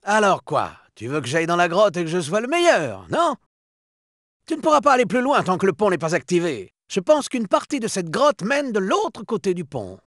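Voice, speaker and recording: High, lively cocky cheetah sidekick. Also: male voice, moderate pace, no room sound, clean studio sound, heavily acted. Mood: cocky, teasing. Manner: breezy, boastful, chummy. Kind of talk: video game character voice acting in French.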